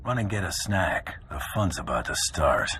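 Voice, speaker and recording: A man's voice: deep voice, big pitch swings, normal speed, bright, forceful tone, slight background noise, heavily acted. A man playing a tough, wry bounty hunter in a video game, with dry, terse delivery. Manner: dry, terse, hard-boiled. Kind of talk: video game cutscene acting.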